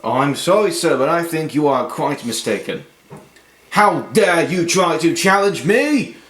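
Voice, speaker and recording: A man's voice: a little high, expressive, a little slow, fairly bright tone. Man doing a pompous dictator puppet, echoey. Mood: indignant. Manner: pompous, theatrical. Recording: echoey room, background noise.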